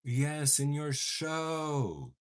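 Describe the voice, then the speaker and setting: A man's voice: fairly high pitch, sing-song, very slow, clean tone. A young man whose first language is Portuguese chats casually while doing his makeup, with dramatic bursts of mock outrage. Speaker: beauty vlogger.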